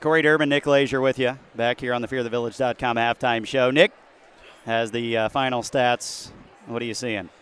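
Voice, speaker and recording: A man's voice: medium pitch, steady tone, normal speed, fairly bright tone, smooth. An American man working as a play-by-play basketball announcer, his voice strained from heavy use. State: losing his voice. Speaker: play-by-play sports announcer and host. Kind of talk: excited basketball commentary.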